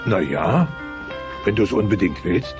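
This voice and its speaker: A man's voice: low voice, expressive, measured pace. A voice actor playing a mighty, pompous dinosaur father, blustering and sentimental, in a German dubbed family sitcom audio play. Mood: protective, gentle. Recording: dull cassette transfer with music.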